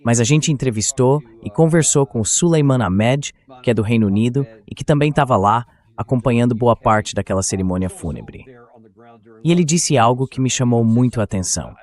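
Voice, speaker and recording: A man's voice: medium pitch, lively intonation, fairly quick, darkish tone. Brazilian male interviewer, mid-range voice. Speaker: interviewer and host. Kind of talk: translated interview voice-over, posing questions.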